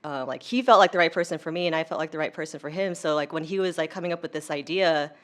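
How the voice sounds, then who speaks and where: Interview guest: young woman, American accent, low register, informal chatty delivery. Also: fast, a bit bright. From United States. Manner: chatty, informal, heavy use of "like". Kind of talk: casual conversational interview.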